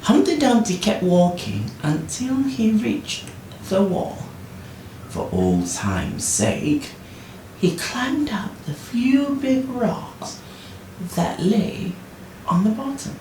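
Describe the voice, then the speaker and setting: A woman reading a children's story expressively as a teacher, with deliberate phrasing and dramatic pauses. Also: mid-range voice, huge pitch swings, mellow. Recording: roomy, distant, faint noise.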